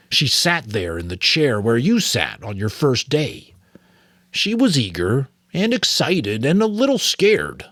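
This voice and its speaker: A man voicing a weary, wise old male mentor from an audiobook novel, gentle and measured. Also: medium pitch, dry recording, studio quality, pitched above the performer's own voice, full character voice.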